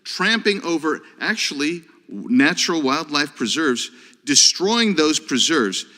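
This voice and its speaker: Deliberate congressman, bright voice, echoing hall. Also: on the high side, very animated. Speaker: congressman questioning a witness. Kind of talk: congressional hearing questioning. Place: large hearing room. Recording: long hall reverb.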